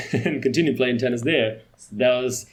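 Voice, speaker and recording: A man in his thirties from Romania chats as a podcast guest, talking in quick bursts with restarts and small laughs. His voice sits in a middle register. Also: mid-range voice. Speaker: podcast guest, company CEO. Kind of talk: casual interview chat.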